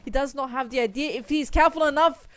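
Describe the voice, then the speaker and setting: Woman casting esports, higher voice. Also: fast talker. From Austria. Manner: analytical, rising to excited bursts on kills. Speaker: esports caster. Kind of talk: solo play-by-play commentary.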